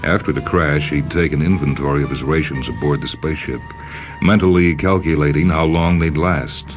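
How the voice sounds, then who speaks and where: A man reading a short story as a dramatic, measured and expressive narration. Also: extremely low pitch. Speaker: reader of short stories for a radio program. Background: music.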